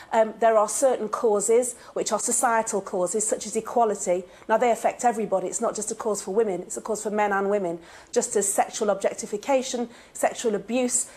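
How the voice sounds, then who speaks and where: Assertive female journalist, a touch higher-pitched. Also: darkish tone. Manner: assertive, articulate, opinionated. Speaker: journalist and broadcaster, studio guest. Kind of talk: live TV debate. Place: remote studio.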